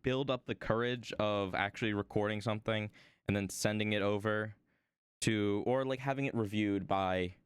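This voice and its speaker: A man streaming from home while he draws, chatting about his desk microphone in a rambling, self-deprecating way, his voice a little hoarse. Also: moderate pace, no echo, clean studio sound. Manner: rambling, self-deprecating, lively swings in pitch. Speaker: art streamer. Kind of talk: casual stream chat.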